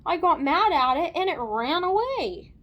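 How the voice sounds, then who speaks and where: Woman as young boy, high-pitched, earnest, matter-of-fact, children's storybook character. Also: big pitch swings, unhurried, dull audio, heavily acted.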